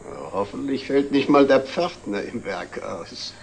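An actor playing a sardonic dinner guest who needles others, in a 1960s German TV drama. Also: male voice, medium pitch, expressive, unhurried, vocal fry. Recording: old TV soundtrack, distant, faint noise.

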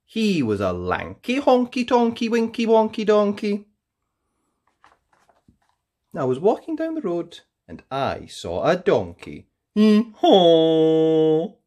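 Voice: medium pitch, huge pitch swings, moderate pace, hardly any pauses, a little mellow. A storyteller reading a children's picture book aloud in a playful, theatrical way, drawing out key words for emphasis. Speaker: storyteller, host of a read-aloud show.

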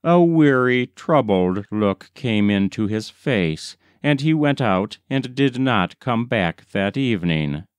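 A man reads a short story aloud as an audiobook narrator. His pace is slow and his pitch moves expressively up and down. The recording is dry and close, with little room sound. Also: expressive, smooth, no room sound.

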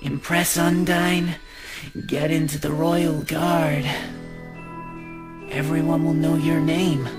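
A woman voicing a small talking flower in a comic dub, flattering and coaxing with a cruel, scheming streak. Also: sits low, slow. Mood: sly coaxing. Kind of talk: comic dub character acting. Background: music.

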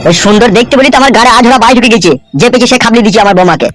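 A man's voice: very high-pitched, very fast, a bit bright, faint music behind. A man voicing an older village uncle in a comedy cartoon, gossipy, commiserating and grumbling. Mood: exasperated.

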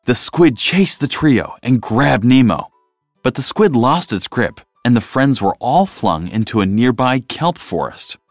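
Very animated male storybook narrator. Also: medium pitch, measured pace, no room sound, studio quality, faint music behind. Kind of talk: expressive children's read-aloud narration.

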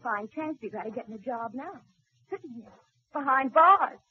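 Brief: a worried working-class wife, high and very expressive, muffled vintage radio-play sound. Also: female voice, moderate pace, a little hiss or hum. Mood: reproachful. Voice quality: slangy working-class speech, coaxing and scolding. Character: pleading devoted young working-class wife. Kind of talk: 1940s radio acting. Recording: dull old radio recording.

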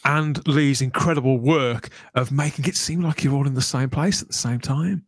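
A man's voice: mid-range voice, normal speed, slightly rough, no room sound. A middle-aged man hosting a podcast in a conversational interview style, speaking into a close desk microphone at home. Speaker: podcast host. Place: small home room, indoors. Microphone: desk microphone close to the mouth.

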